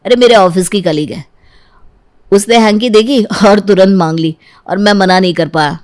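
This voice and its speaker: A woman plays a young husband in a cartoon family story. He speaks at a middling pitch, sweet-talking one moment and needling with jealous sarcasm the next. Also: moderate pace, a little mellow, lightly acted. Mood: sheepish, defensive. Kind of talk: animated story dialogue in Hindi.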